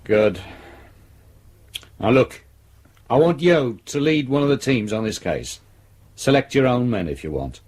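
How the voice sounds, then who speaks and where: A man's voice: fairly low pitch, a little mellow, breathy edge. A man acting in a radio drama as a domineering senior police detective, brusque and authoritative.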